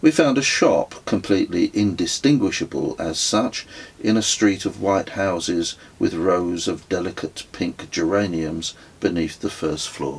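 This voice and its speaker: A middle-aged man narrates a written memoir in a deep voice with a husky grain. He takes his time, telling the story in a measured, literary way, as an author reading his own audiobook.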